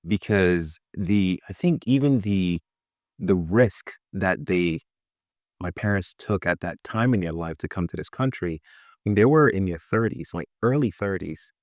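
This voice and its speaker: A man's voice: deep voice, animated, unhurried, dry recording, clean studio sound. A man in his thirties from Ghana and Brooklyn, telling personal stories conversationally as an interview guest, repeating phrases for emphasis. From born in Ghana, grew up in Brooklyn, New York. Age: 30s. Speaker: venture capital partner, interview guest.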